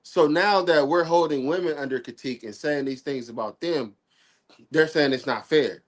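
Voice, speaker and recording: A man in his forties, a bit sick and nasal, talking in a relaxed, slangy way on a live stream chat. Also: a little high, moderate pace. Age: 40s. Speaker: guest on a live stream talk. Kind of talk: casual chat.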